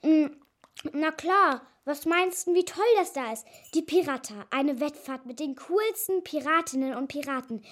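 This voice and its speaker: A woman's voice: high-pitched, moderate pace, lightly acted. A woman playing a bold, adventure-hungry schoolgirl in a children's radio play, cheeky, bossy and insistent in natural dialogue. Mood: longing, excited.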